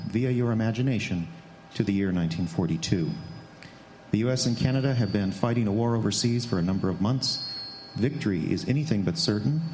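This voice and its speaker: A man's voice: lowish voice, darkish tone. A man reading a scripted introduction to a radio play as a stage announcer. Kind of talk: scripted narration. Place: stage before a live audience. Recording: echoey live hall, faint noise.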